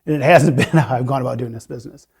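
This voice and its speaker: A man's voice: medium pitch, quick pace, creaky, a little mellow. A man in his fifties, a mortgage lender on a panel, sharing personal stories in an earnest, reflective way with lots of "you know" fillers. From USA.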